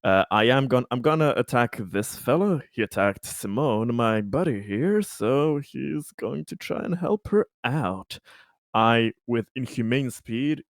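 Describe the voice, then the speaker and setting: A man of average pitch takes his turn in a tabletop roleplaying game. He narrates his character's actions casually and playfully, with halting delivery and a touch of theatre. The sound is dry, with no room on it. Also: lively intonation, no room sound.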